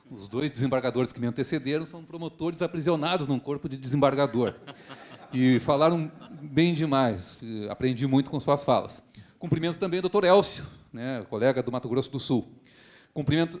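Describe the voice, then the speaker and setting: A man's voice: medium pitch, darkish tone. A man, a public prosecutor giving a formal seminar speech in an earnest, rhetorical way with frequent tag questions. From Rio Grande do Sul, Brazil.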